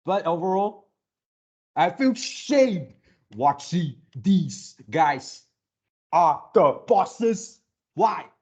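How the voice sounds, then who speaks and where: A man giving casual fan commentary as a video creator, halting as he repeats words and searches for phrasing. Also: high voice, animated, darkish tone, slightly rough. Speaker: video creator reacting to a game series.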